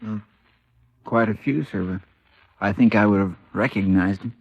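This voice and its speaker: An actor playing a shaken, deferential robbery victim, hesitant and subdued, in an old radio drama. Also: male voice, lowish voice, normal speed, gravelly, breathy edge, dry recording. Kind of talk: 1950s radio drama acting. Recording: dull vintage radio recording.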